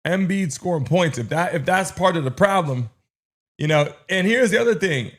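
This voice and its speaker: Slangy male live-stream host, raised pitch. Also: lively intonation, moderate pace. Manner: slangy, hyping up viewers, repeats phrases. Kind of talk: casual live-stream reaction and chat. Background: game broadcast and commercials.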